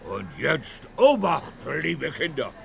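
Slow, creaky jolly Santa Claus. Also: male voice, huge pitch swings, a crowd in the background, heavily acted. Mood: jolly, inviting. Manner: theatrical, slow booming ho-ho-ho delivery. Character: jolly grandfatherly department-store Santa Claus. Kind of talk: German audio drama acting. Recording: music behind the voice.

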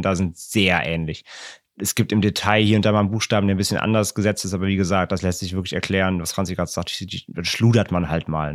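A man co-hosting a podcast, explaining things in a relaxed, rambling way with many repeats. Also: sits low, dry recording, clean studio sound. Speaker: podcast co-host. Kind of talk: casual podcast chat.

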